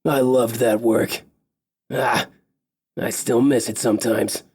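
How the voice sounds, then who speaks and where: A man's voice: medium pitch, fairly even intonation, takes it slowly, a little mellow, breathy edge. A man voicing a cheerful, boisterous big male elf, a former lumberjack. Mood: jovial. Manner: jovial, boisterous.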